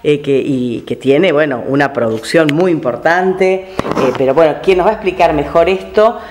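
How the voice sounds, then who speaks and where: A man's voice: fairly high pitch, moderate pace, background noise. An Argentine man hosting an informal radio interview, introducing and questioning a guest.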